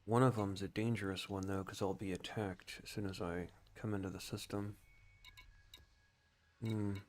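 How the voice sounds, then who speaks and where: A man's voice: sits low, fairly even intonation, moderate pace, a little hiss or hum. A man giving casual live-stream commentary on a game, musing and thinking aloud.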